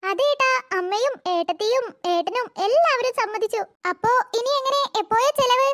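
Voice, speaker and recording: A performer voicing a teasing young woman in a family cartoon, with a childlike, pitched-up sound. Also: moderate pace, fairly bright tone, no room sound, studio quality. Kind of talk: Malayalam family cartoon dialogue.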